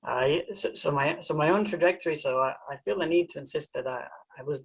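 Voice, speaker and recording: A man from New Zealand, a university professor, gives an informal presentation talk in a halting way, often repeating words as he searches for them. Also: on the high side, vocal fry, slightly rough, poor audio.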